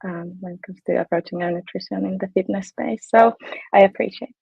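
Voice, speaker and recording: A woman's voice: medium pitch, fairly even intonation, a little fast, gravelly, dark tone, breathy edge, dry recording, muffled recording. A woman in her late twenties from Finland with a Finnish accent, chatting casually as a guest fitness coach in a bubbly way with plenty of ums. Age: 20s. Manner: bubbly, frequent ums and laughs. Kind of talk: casual interview chat.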